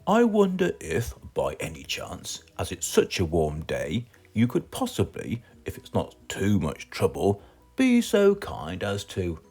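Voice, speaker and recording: A man with a British accent voicing a fat, wordy storybook wizard, halting and over-polite. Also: low voice, huge pitch swings, darkish tone, breathy edge, pitched below the performer's own voice, heavily acted. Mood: dithering, polite. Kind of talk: children's storybook character.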